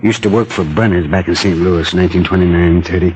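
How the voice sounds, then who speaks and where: An actor playing a shifty, wary American underworld informant in a 1940s radio drama, talking in streetwise slang. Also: male voice, deep voice, steady tone, fast talker, darkish tone, breathy edge. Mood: conspiratorial. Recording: old radio recording with faint noise.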